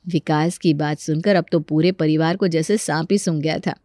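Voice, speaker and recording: A woman's voice: low-pitched, steady tone, normal speed, warm tone, dry recording. Woman narrating a story, dry sound.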